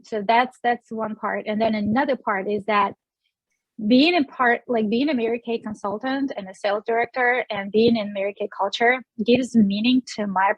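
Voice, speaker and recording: A woman's voice: medium pitch, no room sound, poor audio. A woman in her forties from Russia with a Russian accent, chatting as an interview guest, with many fillers and restarts. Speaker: guest, sales director. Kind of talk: casual interview.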